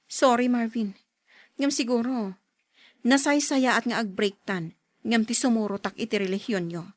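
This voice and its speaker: A woman acting a young daughter in love, firm in her principles, in an Ilocano radio drama with earnest, expressive delivery. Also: on the high side, moderate pace, no room sound, natural voice. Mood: hurt, firm.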